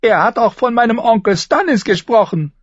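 A man's voice: very high voice, animated, normal speed, dry recording, highs cut off, pitched above the performer's own voice. A man voicing a petulant, whiny young boy king in an audiobook. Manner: whiny, demanding.

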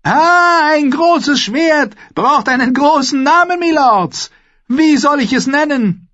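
An arrogant boy king from a fantasy audiobook, with a voice pushed very high and swinging widely. He is cruel, excitable and imperious, boasting as he goes. The sound is slightly dull. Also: measured pace, dry recording, exaggerated voice. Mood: excited boasting. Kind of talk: fantasy audiobook character acting.

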